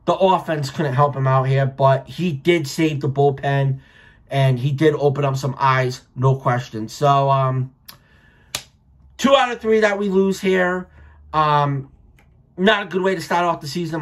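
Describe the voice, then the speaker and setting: A man's voice: fairly high pitch, fairly even intonation, measured pace. An American man in his thirties giving casual, blunt, opinionated sports commentary with plenty of swearing, recorded at home on a webcam. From Rhode Island, United States. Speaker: sports vlogger, baseball fan. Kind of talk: casual post-game reaction commentary. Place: small furnished room at home.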